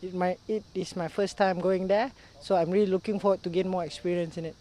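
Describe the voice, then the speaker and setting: A man's voice: sits high, little pitch movement, brisk, dark tone, slight background noise. A man in his twenties from Singapore, an apprentice jockey, plainly introducing himself. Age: 20s.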